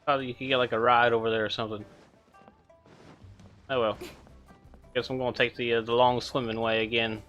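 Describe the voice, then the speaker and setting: A man's voice: mid-range voice, little pitch movement, fairly quick, long pauses, a bit bright, lo-fi sound, faint music behind. A man casually chatting to viewers while streaming a game. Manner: laid-back, chatty.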